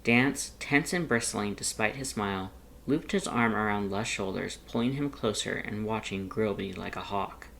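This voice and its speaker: A narrator reading fan fiction aloud as an expressive, scripted audiobook-style story. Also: mid-range voice, normal speed, gravelly, slight background noise.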